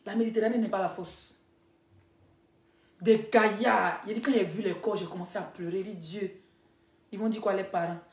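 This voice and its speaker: A woman's voice: mid-range voice, steady tone, normal speed, pauses often. A woman telling stories casually to followers on a live stream, addressing them directly and repeating herself for emphasis. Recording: echoey room.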